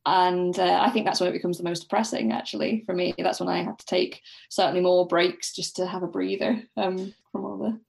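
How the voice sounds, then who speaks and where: A woman speaking as a podcast guest in a casual book discussion, tentatively softening her points. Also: fairly low pitch, steady tone, a little fast, gravelly, hardly any pauses.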